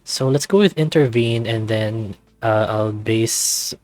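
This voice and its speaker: A man's voice: on the low side, takes it slowly, a little mellow. A man casually reading a visual novel aloud and commenting on it as he plays. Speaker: let's player of a visual novel. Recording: music playing behind close dry voice.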